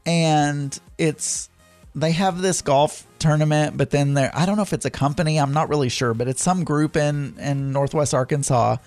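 A man with a Southern US accent telling a meandering, chatty story about his week, stopping often to correct himself and fill pauses. His voice sits a touch higher than most men's. Soft music plays faintly behind him. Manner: rambling, self-correcting storytelling with frequent fillers. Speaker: podcast host. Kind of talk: casual chat.

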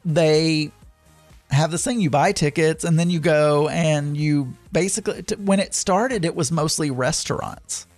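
A man's voice: on the high side, a little slow, darkish tone, no room sound, faint music behind. A man with a Southern accent chatting casually as a podcast host, rambling through his weekend with frequent fillers and self-corrections. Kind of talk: casual chat.

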